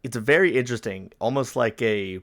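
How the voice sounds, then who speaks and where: A man's voice: mid-range voice, fairly quick. A man co-hosting a podcast, offering casual commentary on a story. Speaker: podcast co-host.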